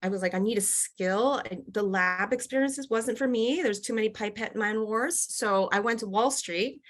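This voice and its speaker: Middle-aged woman, interview guest; loose, chatty delivery full of fillers, even middle pitch. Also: moderate pace. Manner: chatty, many fillers and restarts, laughs often. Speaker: guest, company executive. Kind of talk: casual interview.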